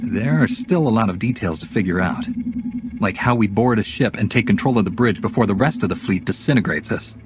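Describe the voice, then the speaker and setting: Man acting a calm hero leader, deep, measured, earnest, sci-fi game, music underneath. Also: close to an everyday voice. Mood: businesslike. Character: calm, resolute male hero commander of an alliance. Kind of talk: cinematic video game acting.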